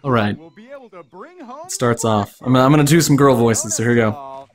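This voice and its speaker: A man's voice: mid-range voice, expressive, measured pace. A man reading a comic fan fiction story aloud as dramatic narration.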